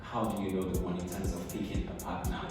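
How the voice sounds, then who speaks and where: Man, host, low voice, teasing, echoey hall, soft music behind. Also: level tone, fast. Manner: teasing, laughs often, fillers. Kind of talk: casual interview chat. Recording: roomy reverb, distant mic, music behind.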